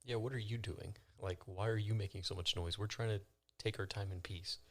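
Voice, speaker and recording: A man's voice: on the low side, fairly even intonation, a little fast, a bit bright. A man talking casually and informally as a player in a tabletop game, often questioning. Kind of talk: casual improvised game chat.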